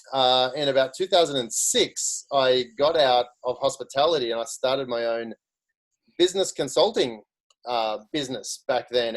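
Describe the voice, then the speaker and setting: A man's voice: medium pitch, rough recording. Chatty Australian man telling his story. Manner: chatty, restarts often. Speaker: guest speaker. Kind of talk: casual career story.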